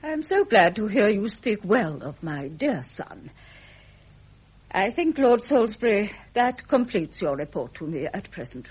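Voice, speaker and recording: A stern, regal older queen in a vintage radio drama. Her upper-class British speech is formal and dismissive, with lively swoops in pitch and a slightly husky, unclear edge. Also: unhurried. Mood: gracious, formal. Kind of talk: period radio drama acting.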